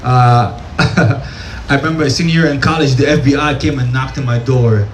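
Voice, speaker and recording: Syrian-accented man doing deadpan stand-up. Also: medium pitch, moderate pace. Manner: deadpan, repeats "that's nice" after punchlines. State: tipsy. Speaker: stand-up comedian. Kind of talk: stand-up comedy set. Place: small cafe comedy stage. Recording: echoey room with crowd noise. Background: audience laughter and room ambience.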